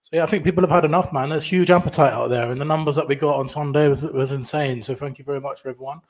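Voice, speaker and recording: A man speaking in a casual online fan chat, quick and matter-of-fact, often adding "man". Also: a little high. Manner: quick, flat delivery, says "man" often. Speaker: fan group organiser.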